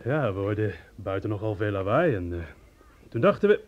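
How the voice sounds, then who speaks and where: A man's voice: fairly low pitch, very animated, a little fast. An actor playing a deferential, freeloading policeman with a hesitant manner in a radio play. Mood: deferential, pleased. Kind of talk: Dutch radio play acting. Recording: dull radio play, crowd behind.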